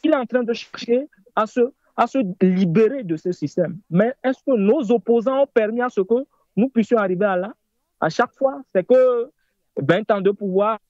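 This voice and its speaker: A man's voice: sits high, darkish tone, no echo, highs cut off. A man in his thirties calling in to a political talk show, speaking with insistent, emphatic repetition. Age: 30s. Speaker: caller. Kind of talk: impassioned political call-in.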